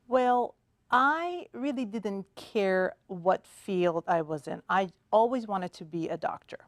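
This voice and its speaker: A middle-aged woman from Iran, a podiatrist guest on a TV studio talk show, speaking in a measured way with many "uh" and "um" pauses. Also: medium pitch, expressive, no room sound. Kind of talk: TV studio interview. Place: TV studio set.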